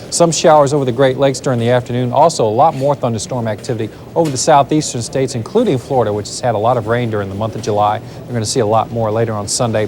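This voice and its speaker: Male TV weather presenter, fast and loose, faint tape hiss. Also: medium pitch, a little mellow. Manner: brisk, loose delivery with fillers. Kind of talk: live TV weather forecast, ad-libbed.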